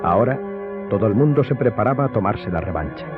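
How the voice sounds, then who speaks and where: A man's voice: on the low side, expressive, fast talker. A man giving scripted dramatic narration as the narrator of a radio drama. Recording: thin phone-like sound, music behind.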